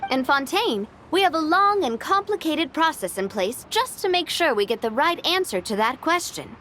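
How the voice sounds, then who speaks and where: A woman's voice: sits high, sing-song, moderate pace, a bit bright, a little hiss or hum. A woman playing an earnest, spirited young female adventurer in a video game. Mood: earnest, reassuring. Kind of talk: animated video game voice acting.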